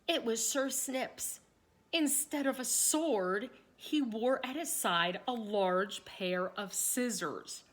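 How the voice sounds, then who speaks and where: A woman reading a children's story aloud, expressively and deliberately, pausing to address young listeners. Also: fairly high pitch. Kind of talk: read-aloud narration with questions to young listeners.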